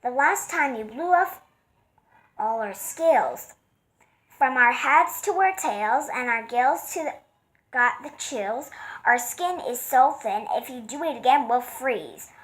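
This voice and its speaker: A woman voicing a group of timid, shivery fish that plead softly. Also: high-pitched, animated, slow, exaggerated voice, rough recording.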